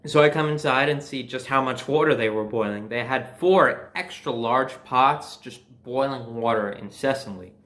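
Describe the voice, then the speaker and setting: A young man telling personal stories in a casual, animated way, swearing freely and acting out bits of dialogue. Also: medium pitch, moderate pace, few pauses. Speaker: storyteller.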